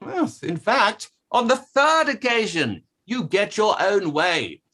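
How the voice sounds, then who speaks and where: A man's voice: sits high, big pitch swings, slow pace, pushed and bright, full character voice. A man playing a precise, pressing inquiry barrister, cross-examining formally in a play table read. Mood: sceptical, insistent. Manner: probing, formal, hesitant over document references.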